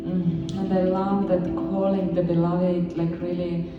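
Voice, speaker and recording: A woman whose first language is Estonian, a poet speaking slowly and dreamily, with many pauses, at a live reading. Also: lowish voice, flat delivery, over music. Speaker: poet reading at a poetry night. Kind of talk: spoken introduction and poetry reading. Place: live event hall with handpan music. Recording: distant, reverberant, dull hall recording.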